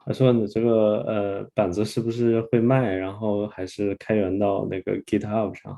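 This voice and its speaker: A man with a Chinese accent, a native Chinese speaker, interpreting informally in a halting, hesitant manner. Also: on the low side, level tone, creaky, warm tone. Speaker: volunteer interpreter.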